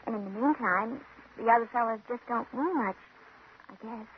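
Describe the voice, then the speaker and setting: An actress playing a wistful young woman with an old crush in a 1950s radio drama, speaking softly, hesitantly and coyly. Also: on the high side, normal speed, close to an everyday voice. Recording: muffled, noisy old radio recording.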